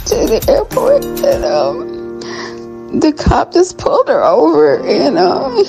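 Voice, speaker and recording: A woman playing a polite, evasive young woman courier in an audio drama, speaking in a hesitant, halting way. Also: high-pitched, wildly swooping pitch, vocal fry, heavily acted, low-quality recording. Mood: nervous. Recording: music behind the voice.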